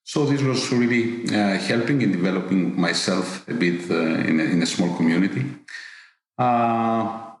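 A middle-aged man with a Greek accent speaks in a low voice. He answers slowly and hesitantly, stumbling over repeated words and fillers. The recording sounds strongly reverberant, as in a bare room. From Greece. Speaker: business executive guest. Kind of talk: interview answers.

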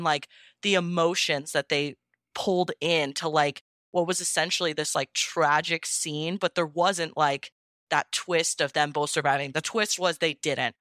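A woman's voice: fairly low pitch, fairly bright tone, clean tone, no room sound, studio quality. A young woman chatting casually as a podcast guest, gushing with enthusiasm and peppering her talk with "like".

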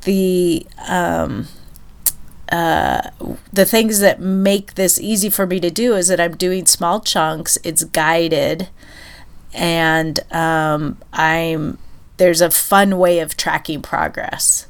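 A woman co-hosting a podcast, chatting casually and thinking aloud with many pauses and fillers, her voice stuffy and nasal from a cold. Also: fairly low pitch, steady tone, vocal fry, clean tone. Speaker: podcast co-host. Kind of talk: casual chat.

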